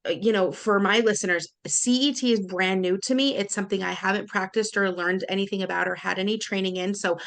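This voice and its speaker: Creaky-voiced woman hosting a podcast. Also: mid-range voice, steady tone, a bit bright. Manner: slow, deliberate, inviting questions with fillers. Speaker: podcast host. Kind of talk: interview questions.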